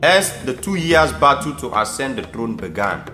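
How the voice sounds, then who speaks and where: A man's voice: medium pitch, big pitch swings, normal speed, sharp tone. A man with a Sierra Leonean accent narrating a scripted audio drama as a storyteller. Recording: roomy echo under prominent music.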